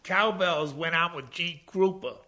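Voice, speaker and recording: A man's voice: a little high, measured pace, noisy background, close to an everyday voice. An actor playing an eager, blustering jazz musician father who talks in hip slang in a comic radio play. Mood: proud, nostalgic. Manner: hip jazz slang, stammers when flustered.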